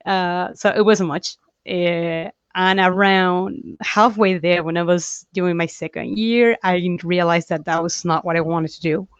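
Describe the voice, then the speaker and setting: A young woman from Chile, a comic artist chatting as an interview guest, talking freely with halting restarts and easy laughs. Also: fairly low pitch, fairly even intonation, clear, resonant tone, dry recording. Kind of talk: casual interview chat. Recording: dull, dry lo-fi call audio.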